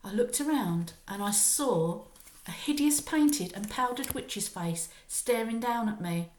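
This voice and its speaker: A woman reading a children's story aloud like a primary school teacher, with expressive, emphatic dramatic narration. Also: a little high, measured pace, reverberant, poor audio.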